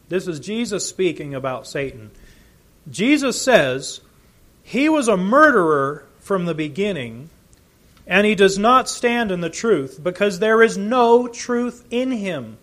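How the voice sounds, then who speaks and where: Animated male preacher, high lively voice. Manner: earnest, emphatic teaching with swells of volume. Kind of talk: sermon.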